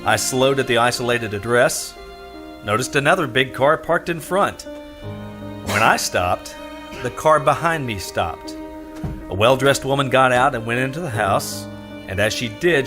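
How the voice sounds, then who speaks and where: A man's voice: medium pitch, animated, normal speed, over music, lightly acted. A man acting a wry, laconic hardboiled private detective in a radio drama, narrating his own case and talking to others. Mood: wry, brooding. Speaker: lead actor and narrator. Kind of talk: old-time radio detective drama, first-person narration.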